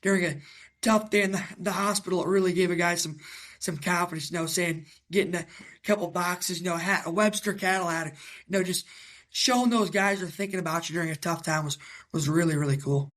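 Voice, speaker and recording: A man in his twenties from Iowa with a raspy voice, answering interview questions in a halting, casual way with many fillers. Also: sits high, a bit bright. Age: 20s. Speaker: guest, young cattle breeder.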